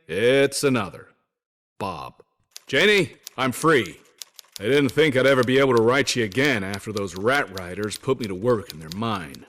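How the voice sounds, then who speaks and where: A man's voice: mid-range voice, a bit bright, natural voice. An actor voicing a weary, wry soldier turned survivor in a video game, narrating his letters home in a folksy, grumbling way. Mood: wry, resigned. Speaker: voice actor reading journal notes. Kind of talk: dramatic first-person letter narration.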